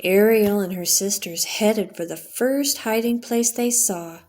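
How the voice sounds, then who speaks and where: Slow-reading woman telling a bedtime story. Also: mid-range voice, a little mellow. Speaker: storyteller reading a children's book. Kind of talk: bedtime story narration, read aloud.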